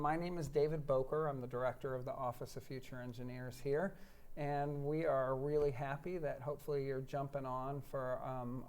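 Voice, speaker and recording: An American man hosting a live online chat for admitted students, relaxed and talkative with a lot of fillers. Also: medium pitch, clean tone. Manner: relaxed, chatty, many fillers, jokes at himself. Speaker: director of admissions office, host.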